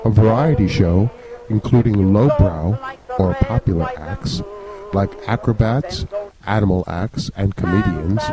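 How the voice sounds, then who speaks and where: Low-voiced, animated male narrator. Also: measured pace, dull audio, over music. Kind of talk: scripted mock-documentary narration.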